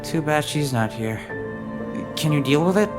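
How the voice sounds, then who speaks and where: A man's voice: a little high, animated, normal speed, over music, lightly acted. A man playing an earnest, cautious detective partner with dry sarcasm in a scripted audio drama. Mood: sarcastic. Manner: earnest, questioning, lightly sarcastic.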